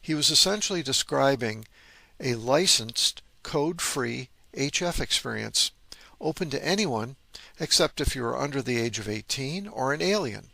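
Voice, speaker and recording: A man's voice: medium pitch, animated, normal speed, creaky, bright, forceful tone, no room sound. A man hosting a hobby channel, narrating informally with an enthusiast's interest and wry asides. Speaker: host of a vintage radio hobby channel. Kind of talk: informal narration on vintage radio gear.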